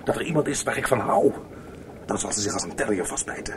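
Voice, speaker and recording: Nervous male lover for radio play, played by an actor: animated pitch, hushed pleading, evasive; noisy old recording. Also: fast, close to an everyday voice. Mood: agitated, evasive. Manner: hushed, pleading, coaxing, agitated. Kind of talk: Dutch radio play acting.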